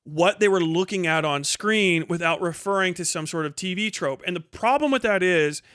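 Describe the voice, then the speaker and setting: A man's voice: high voice, normal speed, fairly bright tone, clean tone, no echo, clean studio sound. A man hosting a livestream, giving rambling, emphatic and sweary opinionated commentary in casual speech. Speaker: livestream host.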